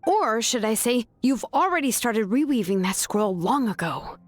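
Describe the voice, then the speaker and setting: Shrewd, higher-voiced young craftswoman. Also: big pitch swings, normal speed. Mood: calm, probing. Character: composed, shrewd young craftswoman, coolly confronting. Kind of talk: dramatic video game voice acting.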